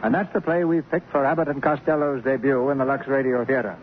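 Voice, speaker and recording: A man's voice: mid-range voice, steady tone, fast, a little hiss or hum. Man narrating 1940s radio theater, muffled.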